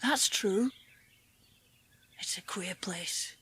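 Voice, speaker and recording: A woman playing a friendly, gentle country boy with a Yorkshire accent in a dramatised audiobook. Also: medium pitch, very slow, slightly rough, in a whisper, exaggerated voice. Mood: friendly enthusiasm. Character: friendly, rustic Yorkshire moorland boy, gentle nature lover.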